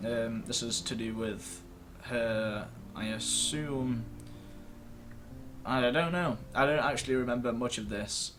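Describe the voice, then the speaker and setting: A man's voice: medium pitch, slow pace. Man, mid-range voice, casual gaming commentary, rambling, self-deprecating, noisy home recording at a computer. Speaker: host of a gaming video series. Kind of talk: casual gameplay commentary to camera. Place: indoors at a computer screen.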